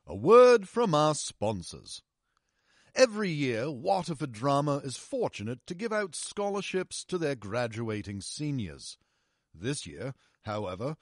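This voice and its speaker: A man's voice: mid-range voice, no room sound, studio quality. A man playing a showy old-time radio announcer, addressing listeners formally.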